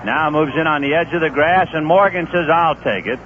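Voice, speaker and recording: A man doing radio play-by-play of a baseball game, easygoing and folksy, with chatty asides between pitches. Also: brisk. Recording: tinny old AM radio broadcast with faint hiss.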